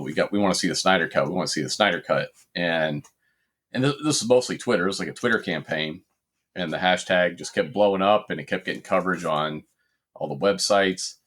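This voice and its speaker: A man's voice: sits low, a bit bright. A man hosting a casual podcast, leading the talk in a laid-back way. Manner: laid-back, leads the discussion. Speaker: podcast host.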